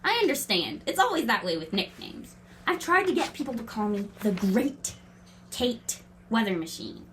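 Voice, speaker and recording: A woman playing a brisk, confident, quirky girl in a family audio drama, breezy and matter-of-fact. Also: on the high side, sing-song, a bit bright, a little hiss or hum. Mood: breezy, chatty.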